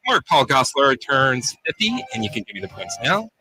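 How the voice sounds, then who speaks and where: A man's voice: mid-range voice, sing-song, brisk, pushed and bright, husky, dry recording, faint music behind. A man on a radio panel, bantering casually and confidently as a game contestant.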